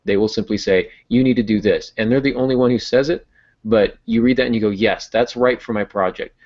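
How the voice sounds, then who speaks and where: Low-voiced man, dull lo-fi sound. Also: steady tone, a little fast, darkish tone. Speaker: podcast host and writer. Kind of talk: conversational writing advice. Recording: dull lo-fi audio.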